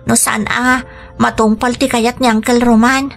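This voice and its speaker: An actress with an Ilocano Filipino accent playing a meek, forlorn teenage granddaughter in a radio drama, pleading and apologetic. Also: female voice, fairly high pitch, flat delivery, unhurried, creaky. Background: music.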